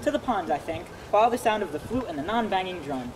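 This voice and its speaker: A woman's voice: lowish voice, lively intonation, quick pace, natural voice. A woman reading the part of a wry, motherly woman servant in an amateur dramatic reading. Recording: distant voice, outdoor traffic noise. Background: traffic noise.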